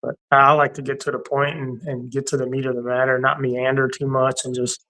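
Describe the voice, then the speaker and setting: A man's voice: medium pitch, monotone, a little fast, pushed and bright, poor audio. A middle-aged man and Army veteran who co-hosts a casual conversation, making his points bluntly and repeating them for emphasis, with frequent laughs. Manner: laughs often between points. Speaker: co-host; Army warrant officer veteran.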